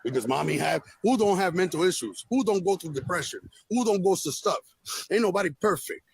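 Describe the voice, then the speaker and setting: A man with a deep, gravelly voice, recording himself on a phone while he angrily insists on taking his daughter home. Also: lively intonation, fairly quick, no room sound, low-quality recording. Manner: heated, insistent, repetitive. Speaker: father recording a confrontation. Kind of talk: agitated self-recorded rant.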